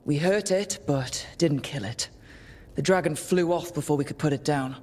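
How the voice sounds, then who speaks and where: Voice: mid-range voice, moderate pace, darkish tone, close to an everyday voice. An actor playing a weary but wry young adventurer who leads a team in a video game. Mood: wry, resolute.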